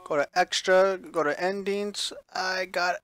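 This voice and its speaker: A man's voice: high voice, steady tone, measured pace, a bit bright. A man streaming a visual novel, reading it aloud with offhand reactions and correcting himself when he stumbles over words. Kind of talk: live playthrough read-aloud with offhand reactions.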